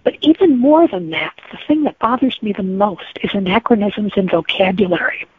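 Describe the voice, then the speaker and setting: A woman's voice: mid-range voice, lively intonation, normal speed, background noise. A woman being interviewed as a guest author, speaking conversationally in an earnest, opinionated way. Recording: thin, tinny phone-like line.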